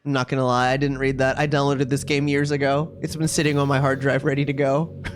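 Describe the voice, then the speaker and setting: A man's voice: medium pitch, flat delivery, a little fast. A man live-streaming a visual novel, reacting with casual, wry asides. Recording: music playing behind the voice. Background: game music.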